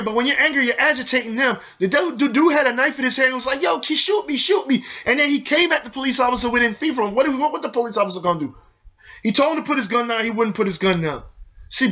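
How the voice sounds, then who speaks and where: A man's voice: extremely high pitch, sharp tone. A young man hosting his own show, giving heated, preachy community commentary to camera and repeatedly telling viewers "y'all need to" do things. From United States. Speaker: show host. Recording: dull lo-fi home video audio.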